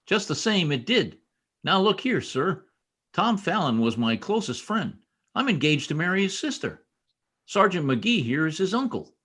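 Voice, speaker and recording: Husky, animated young trooper played by a man. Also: high-pitched, moderate pace, full character voice. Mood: earnest, insistent. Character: earnest, insistent young state trooper, loyal friend. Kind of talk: old-time radio drama acting.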